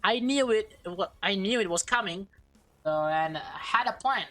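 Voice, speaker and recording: A woman let's player reads a visual novel aloud at an unhurried pace, tripping on words and repeating them. She drops casual, slangy asides between passages. The sound is close and dry, with faint music playing behind her. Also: medium pitch, no echo. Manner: stumbles over words while reading, repeats phrases; slangy, excitable reactions.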